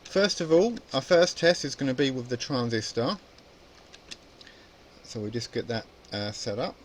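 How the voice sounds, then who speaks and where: A man with a mid-pitched voice gives an informal tutorial in a casual, explanatory way. He thinks aloud as he demonstrates, like a hobbyist teaching at the bench. A faint layer of noise sits behind his voice. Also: animated, normal speed. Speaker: electronics hobby presenter.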